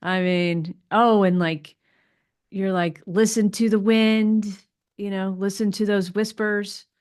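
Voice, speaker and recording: A woman's voice: medium pitch, steady tone, slow pace, darkish tone. A woman hosting a podcast, enthusiastic and affirming toward her guest. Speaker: podcast host. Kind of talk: casual interview chat.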